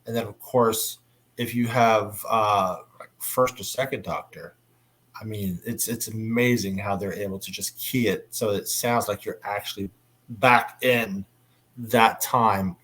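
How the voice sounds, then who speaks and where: A man's voice: lowish voice, a little slow, a bit bright, rough recording. Enthusiastic man hosting a fan panel. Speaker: convention panel host. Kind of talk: casual panel discussion about audio dramas.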